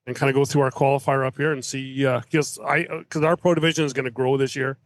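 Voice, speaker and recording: A man's voice: mid-range voice, level tone, fast. A man from Canada chatting casually as a guest on a podcast. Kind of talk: casual chat.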